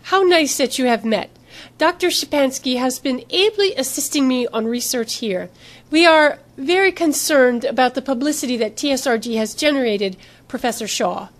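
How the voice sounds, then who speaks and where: Woman voicing a smooth, authoritative female doctor. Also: high voice, expressive, normal speed, pitched above the performer's own voice. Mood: smooth, courteous. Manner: polite, smooth, formal.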